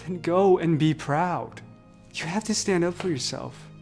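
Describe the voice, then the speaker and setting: A man's voice: on the high side, unhurried, a little mellow, soft background music. An actor playing a supportive, earnest teenage boy, speaking in an encouraging, urging manner.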